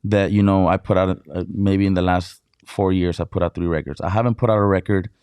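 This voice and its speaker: A man from Mexicali, a DJ guest on an interview show, telling stories in excited, casual talk full of filler words and swearing. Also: low-pitched, fairly quick, smooth, no echo, clean studio sound. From Mexicali, Baja California, Mexico.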